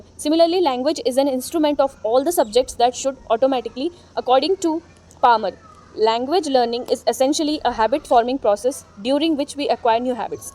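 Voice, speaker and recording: High-voiced Indian woman teaching a lesson. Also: a little mellow. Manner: chatty and encouraging, reads English lines slowly then explains. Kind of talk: exam-prep lesson, explaining points.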